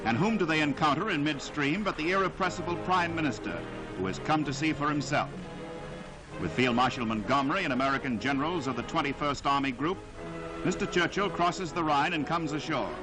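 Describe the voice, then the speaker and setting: A man narrating a 1940s newsreel in a dramatic, declamatory style with emphatic, measured phrasing, on a vintage soundtrack. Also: fairly high pitch, animated, over music, low-quality recording.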